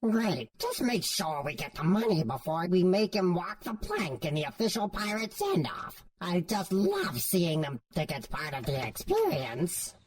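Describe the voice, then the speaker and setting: A ruthless, gleeful pirate boss, acted by a woman speaks in a lowish voice with wildly swinging pitch. It sounds distant from the mic, like an amateur audio drama. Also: huge pitch swings, a little slow, few pauses, a little mellow. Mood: gleeful, scheming.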